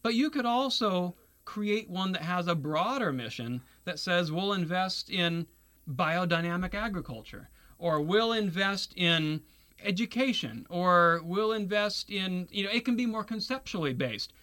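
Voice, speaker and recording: A man with a bright, fairly high voice answers interview questions. He explains his ideas in an earnest, measured way, now and then restarting a phrase mid-thought. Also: expressive. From Taiwan. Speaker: guest, securities lawyer. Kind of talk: radio interview.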